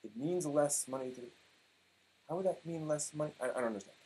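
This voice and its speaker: This is a man in his forties with a voice a little higher than usual. He reads an online post aloud in a theatrical, mock-dramatic style. His delivery is emphatic and sometimes halting. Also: mellow, slightly rough, poor audio. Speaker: performer reading old forum posts. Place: small room at home, indoors.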